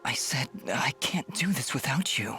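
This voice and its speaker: A man's voice: medium pitch, expressive, moderate pace, bright, forceful tone. Troubled young swordsman in a game, intense and earnest, music behind, voice moves a lot. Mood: gentle, unsure. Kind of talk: dramatic video game acting.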